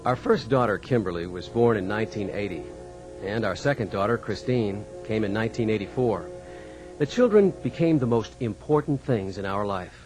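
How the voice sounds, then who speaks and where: A man's voice: on the low side, expressive, moderate pace, darkish tone, soft background music, lightly acted, rough recording. An actor playing an easygoing young American farmer and father in a radio drama, talking in a folksy, conversational way. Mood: reflective. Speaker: narrator and lead in radio dramatization. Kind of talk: scripted first-person radio drama narration and dialogue.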